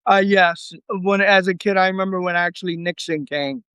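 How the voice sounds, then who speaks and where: A man as an interview guest, answering in a relaxed, rambling way with frequent fillers. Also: sits high, moderate pace, clear, resonant tone, no room sound. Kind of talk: casual conversation.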